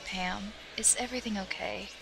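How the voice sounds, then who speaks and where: Woman playing a creaky-voiced, sly teenage girl. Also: medium pitch, fairly even intonation, moderate pace, close to an everyday voice. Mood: sly, friendly. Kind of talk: audio drama acting. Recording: clearly noisy home recording.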